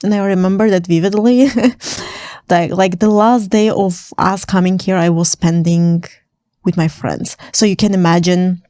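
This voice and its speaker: A woman's voice: fairly low pitch, fairly even intonation, moderate pace, dark tone, dry recording. A young woman from Armenia, native in Armenian, chatting casually on camera as a vlogger, laughing often and filling her talk with "like" and "you know".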